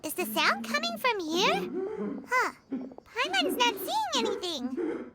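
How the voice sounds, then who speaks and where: A woman voicing a tiny, excitable, childlike fairy companion in an anime game, chatty, whiny and squeaky. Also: slow pace, heavy background noise, exaggerated voice. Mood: uncertain. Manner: refers to self in third person, expressive. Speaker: travel guide companion.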